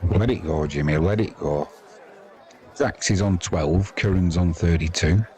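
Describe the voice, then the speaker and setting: Deep-voiced man commentating over crowd noise. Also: unhurried, warm tone. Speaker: live cricket commentator and stream host. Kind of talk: casual live sports commentary chatting with viewers.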